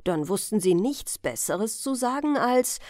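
A woman narrating a scripted fairy tale as a bedtime audiobook, expressive and carefully phrased. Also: mid-range voice, unhurried, no room sound, clean studio sound.

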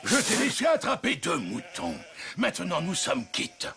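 An actor voicing a loyal, troubled old sheepdog in a French cartoon, earnest and plaintive with a gravelly voice. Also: male voice, high voice, wildly swooping pitch, moderate pace, pushed and bright, heavily acted. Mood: firm. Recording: music under the voice.

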